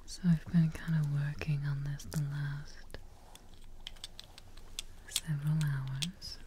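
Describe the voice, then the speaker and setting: A young woman playing a gentle, reassuring witch in an ASMR role play, speaking softly and breathily, close to the microphone. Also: sits low, little pitch movement, a little hiss or hum. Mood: soothing, calm. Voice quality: soft, breathy, whispery. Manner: slow, reassuring, unhurried. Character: gentle, soothing witch tending a patient.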